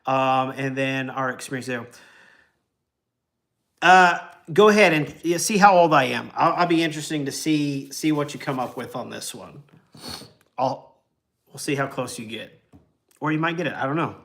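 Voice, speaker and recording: A man's voice: medium pitch, normal speed, long pauses, a bit bright. A man of forty, chatting casually and rambling with lots of ums while hosting a travel livestream. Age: 40s. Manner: rambling and easygoing, many ums and uhs, chuckles.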